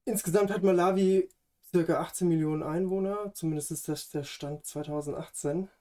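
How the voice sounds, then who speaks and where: A man's voice: a little high, steady tone, brisk, mellow. Hesitant man hosting a podcast. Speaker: podcast host. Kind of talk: hosting a conversational podcast, introducing guests.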